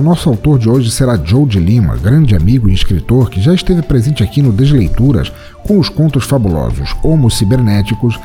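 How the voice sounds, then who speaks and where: A man's voice: mid-range voice, dark tone. A man with a Brazilian Portuguese accent, hosting a podcast and reading a horror story in a theatrical, playful way. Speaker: podcast host and story reader. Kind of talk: podcast announcements and scripted horror story narration.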